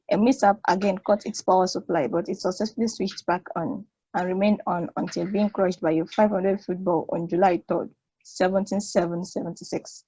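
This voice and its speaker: Husky, solemn woman eulogist acted. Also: medium pitch, mellow, no echo, lo-fi sound, close to an everyday voice. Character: solemn woman eulogist mourning a beloved ancient relic. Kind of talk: solemn eulogy in play table read.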